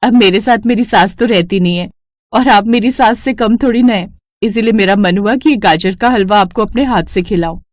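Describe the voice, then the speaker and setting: A woman's voice: medium pitch, moderate pace, dry recording, studio quality, close to an everyday voice. Woman acting a self-assured young neighbour wife. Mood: sugary. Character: two-faced young neighbour wife, sweet outside, demanding within.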